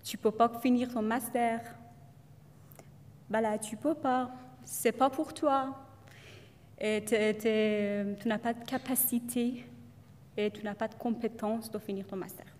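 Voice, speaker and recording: A woman's voice: on the high side, fairly even intonation, a little slow, pauses often, darkish tone. Hesitant woman speaking in a hall. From Iran. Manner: hesitant, many fillers and restarts. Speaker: student speaker. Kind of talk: testimonial talk to an audience.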